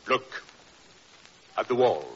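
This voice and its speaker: An actor playing a controlling husband in an old radio drama, condescending and insinuating, with false concern and quiet menace. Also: male voice, medium pitch, animated, slow pace, husky, heavily acted. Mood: coldly accusing. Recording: dull, noisy vintage radio recording.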